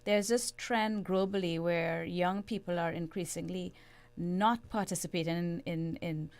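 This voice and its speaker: A middle-aged woman with a Trinidadian accent, speaking in a measured, earnest way as a guest analyst in a radio interview. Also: fairly low pitch, fairly even intonation, darkish tone. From Trinidad and Tobago. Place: radio studio.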